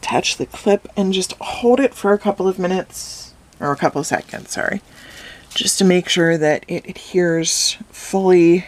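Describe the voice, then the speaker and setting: A woman explaining a craft step by step in a calm, instructive way, her voice slightly hoarse from a cold. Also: lowish voice, moderate pace. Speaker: craft tutorial host. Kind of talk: step-by-step craft tutorial voice-over.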